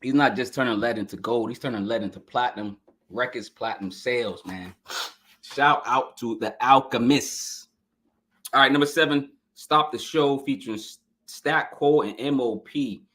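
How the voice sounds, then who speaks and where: A man's voice: medium pitch, bright, forceful tone. A man hosting a podcast album review in a casual, hyped, slangy way, speaking straight to his viewers, his voice scratchy this morning. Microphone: live stream podcast mic.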